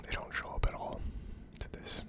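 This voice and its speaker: An ASMR host speaks entirely in a hushed whisper, with almost no voice behind the words. The delivery is a casual, conversational tasting review. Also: normal speed. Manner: hushed, unvoiced whispering throughout.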